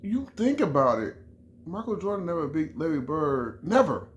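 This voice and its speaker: A man's voice: a little high, big pitch swings, moderate pace, a little hiss or hum. A middle-aged man from Chicago giving an emphatic, repetitive sports opinion in a casual vlog, often restarting his phrases. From Chicago, USA. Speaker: vlogger.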